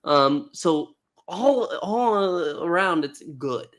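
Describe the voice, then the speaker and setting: A man's voice: on the high side, expressive, extremely slow, fairly bright tone. A man co-hosting a casual fan review, speaking in a playful, emphatic and informal way. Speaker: podcast co-host and reviewer.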